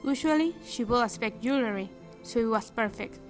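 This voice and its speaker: A woman's voice: a little high, moderate pace, soft background music. A young adult woman narrating a fairytale from a script.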